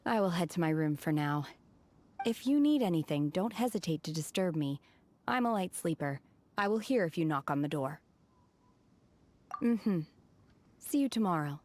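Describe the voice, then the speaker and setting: A woman voicing an aloof, solemn young hermit woman in a video game, speaking in a reserved, measured and formal way. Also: fairly low pitch, expressive, darkish tone, slight background noise, close to an everyday voice. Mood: calm, polite.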